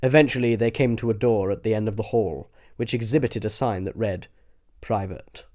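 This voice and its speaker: A man's voice: lowish voice, brisk, darkish tone. A man reading a scripted comic audiobook as narrator.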